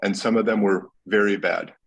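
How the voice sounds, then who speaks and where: A man's voice: fairly low pitch, normal speed, husky. A man with an American accent, a panel guest who tells his career story candidly in a conversational way. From United States. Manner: candid, relaxed.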